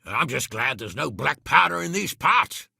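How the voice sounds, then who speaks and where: A man acting as a gruff, hot-tempered, middle-aged medieval lord in a video game, with a raspy, gravelly voice and a blunt, blustery, commanding manner. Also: medium pitch, very animated, moderate pace, sharp tone, no room sound, studio quality, exaggerated voice. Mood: grave, weary.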